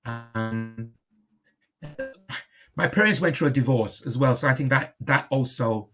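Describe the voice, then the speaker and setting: A man's voice: medium pitch, measured pace, pushed and bright, faint music behind. Reflective man talking in an interview. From born in Liverpool, moved to Nigeria at five. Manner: repeats and rephrases phrases as he reflects. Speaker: comedian, interview guest.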